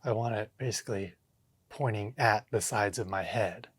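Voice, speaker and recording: A man's voice: fairly low pitch, normal speed, slightly rough, no echo. A man narrating a hands-on vlog casually, thinking aloud and talking to himself with short exclamations. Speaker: home theater DIY vlogger. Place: indoor home theater room with drop ceiling.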